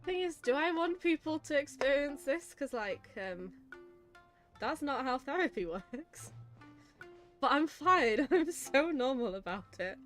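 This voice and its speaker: A woman's voice: high-pitched, very animated, measured pace, fairly bright tone, faint music behind. A woman doing casual reaction commentary over a video game, with dry, sarcastic, giggly humour. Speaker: gaming video host, psychologist.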